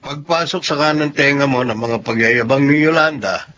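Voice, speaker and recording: A man with a Filipino accent playing an earnest, patient husband and son in a radio drama, gently reasoning. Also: medium pitch, normal speed, a little hiss or hum, lightly acted. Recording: dull lo-fi audio, faint music behind.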